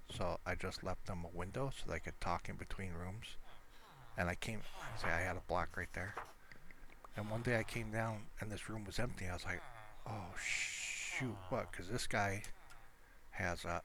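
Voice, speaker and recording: A man giving casual gameplay commentary, rambling and thinking aloud as he shows his game world. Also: on the low side. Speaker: gamer showing his Minecraft world.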